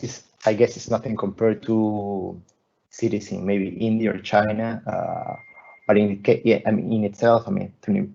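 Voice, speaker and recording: Man in his thirties, guest lecturer over a video call; deep and gravelly, dark and husky, halting with many restarts. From Peru. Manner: halting, frequent restarts and repeated words. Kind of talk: online lecture presenting slides. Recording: muffled video call audio.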